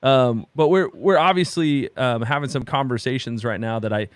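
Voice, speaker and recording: A man in his thirties from Oklahoma, a pastor talking in a halting, reflective way with many restarts during a candid conversation. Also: mid-range voice, no echo. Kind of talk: candid conversation on a video call.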